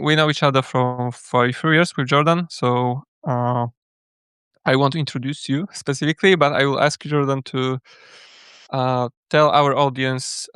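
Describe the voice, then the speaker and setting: Man, livestream host, casual interview style, clean smooth voice, dry sound. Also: medium pitch, a bit bright, no room sound.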